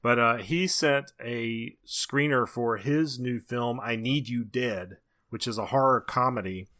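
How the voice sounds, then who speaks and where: Bright-voiced American man chatting. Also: mid-range voice, measured pace. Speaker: podcast co-host. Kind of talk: casual movie review chat.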